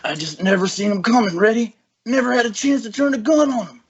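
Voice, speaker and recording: A man's voice: high-pitched, expressive, fast talker, crowd noise. A man voicing a weak, dying old farmhand with folksy rustic speech in an amateur table read. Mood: weak, shaken. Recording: dull lo-fi audio with faint noise.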